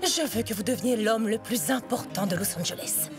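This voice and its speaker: A woman's voice: on the high side, a little fast, natural voice. An actress voicing a haughty, ambitious noblewoman in a cartoon, with an affected, imperious manner. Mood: demanding, indignant. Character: haughty ambitious noblewoman, demanding manipulative wife. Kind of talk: animated cartoon dubbing. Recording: music behind the voice.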